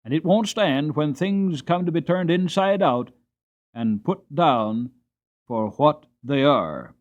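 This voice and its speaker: A man's voice: a little high, animated, slow pace, dull audio. A man voicing a staunch, emphatic Victorian coachman. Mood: earnest, fervent. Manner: emphatic, decided, respectful. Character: loyal, plain-spoken Victorian coachman, staunch and earnest. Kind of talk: dramatic audiobook character reading.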